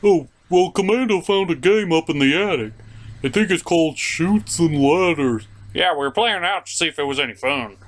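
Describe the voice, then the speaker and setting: Male skit performer, somewhat high voice, very lively intonation, casual comic banter. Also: moderate pace. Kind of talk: casual comedic skit dialogue.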